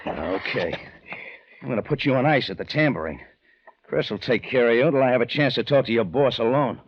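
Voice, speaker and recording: An actor plays a tough, wry private eye in vintage radio drama. His clipped narration swings expressively around a medium pitch. The recording is dull and lo-fi. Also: lively intonation, brisk, natural voice. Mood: hard, threatening. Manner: clipped, wisecracking delivery. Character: wry, tough, hard-boiled male detective narrator. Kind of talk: 1940s radio detective acting, scripted narration. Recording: dull, lo-fi old radio with music.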